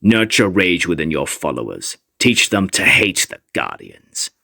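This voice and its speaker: A scheming villain lord from a fantasy comic dub, very deep and slow. The delivery swoops dramatically, dripping with sinister condescension and gloating. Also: male voice, sing-song, vocal fry, bright, forceful tone, no echo, clean studio sound, full character voice. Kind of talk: comic fandub voice acting.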